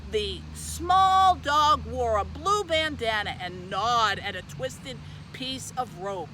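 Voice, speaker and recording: Female children's librarian, bright and animated read-aloud delivery, faint outdoor traffic noise. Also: a little high, rough recording. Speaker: youth services librarian presenting a book.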